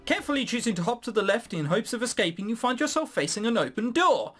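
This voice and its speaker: A man's voice: very high-pitched, sing-song, quick pace, fairly bright tone, soft background music. A man giving casual, sarcastic gaming commentary with some swearing, sometimes reading game text aloud. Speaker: let's play host.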